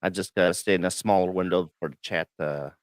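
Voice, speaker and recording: A man hosting a casual livestream chat, a bit hoarse and froggy from the flu, talking in a relaxed, rambling way with many fillers. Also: low voice, a little fast, dry recording, studio quality. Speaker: host. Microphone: studio microphone.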